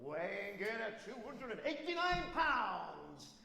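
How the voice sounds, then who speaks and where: A man's voice: high voice, very animated, slow, vocal fry, a crowd in the background. A man announcing an act in the grand style of a boxing ring introduction. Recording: echoey hall with long reverb.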